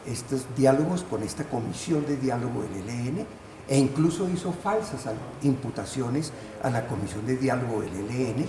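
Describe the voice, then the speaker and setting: An older man, a professor and panel guest, giving formal political analysis in halting, deliberate phrases. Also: medium pitch, dark tone. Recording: echoey, noisy room sound.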